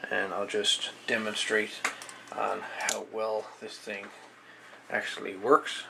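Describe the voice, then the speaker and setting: Low, gravelly-voiced man demonstrating radio gear. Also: level tone, slow, background noise. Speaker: amateur radio equipment reviewer. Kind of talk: casual unscripted product demonstration.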